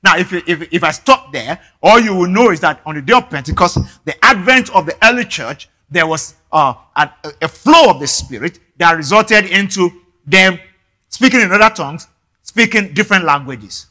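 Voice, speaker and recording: A man in his forties, a Yoruba speaker, preaching a sermon on a church stage with animated gestures and frequent restarts. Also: high-pitched, normal speed. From Nigeria. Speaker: pastor. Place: large church stage indoors.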